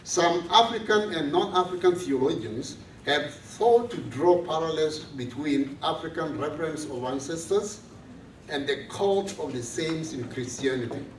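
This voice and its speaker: A priest from South Sudan speaks to students in a slow, measured and earnest way. His voice sits a little high. It comes across an echoey room from a distant mic, with faint background noise. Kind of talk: reflective talk to students. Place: large room on a college campus.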